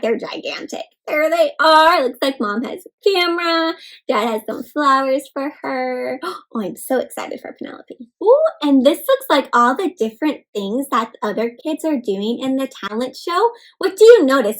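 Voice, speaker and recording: A woman's voice: high-pitched, moderate pace. A woman reading a storybook aloud to young children, expressively and interactively, with encouraging questions in simple words. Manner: expressive, gasps and asks listeners questions. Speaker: read-aloud host.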